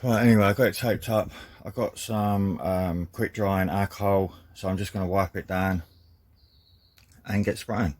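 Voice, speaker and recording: A man with a croaky voice casually narrating a car repair job, chatty and self-deprecating, calling his viewers lovely people. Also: sits low, fairly even intonation, normal speed, a little mellow. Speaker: DIY car-repair vlogger.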